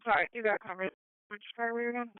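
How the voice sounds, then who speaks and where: A woman's voice: on the high side, steady tone, quick pace, clear, resonant tone, dry recording, narrow, tinny audio. A woman working as an emergency dispatcher, relaying calls over a two-way radio on a scanner feed. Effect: two-way radio transmission. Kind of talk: police and EMS radio traffic.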